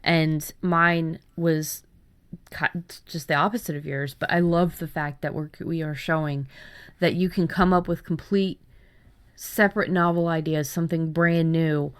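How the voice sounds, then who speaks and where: A woman podcast host chatting casually about writing, telling things in an animated way with chuckles. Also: sits low, a little slow, clean tone, dry recording. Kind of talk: casual chat about story ideas.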